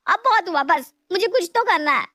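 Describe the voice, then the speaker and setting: A woman playing a put-upon, scheming young daughter-in-law in an animated moral story, with a plaintive, exaggerated delivery. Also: extremely high pitch, moderate pace, no echo, clean studio sound. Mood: hurt, resentful.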